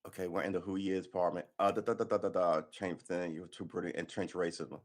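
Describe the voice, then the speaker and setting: A man's voice: low-pitched, little pitch movement, fast talker. A man, reading an article aloud on a live stream and breaking in with sarcastic, profane, heated asides. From New York City. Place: indoor room by a window.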